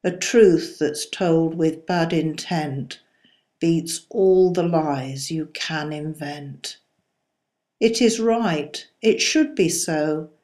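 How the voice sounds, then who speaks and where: A woman reciting poetry in a solemn style, with measured, deliberate phrasing. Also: fairly low pitch, mellow. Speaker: poetry reader.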